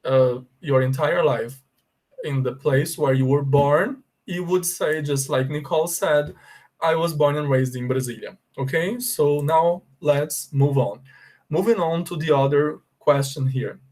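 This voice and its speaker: A man with a Brazilian Portuguese accent, an English teacher explaining a lesson slowly and checking in often with his students. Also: a little high. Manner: slow, deliberate explaining with frequent check-ins. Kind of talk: bilingual language lesson.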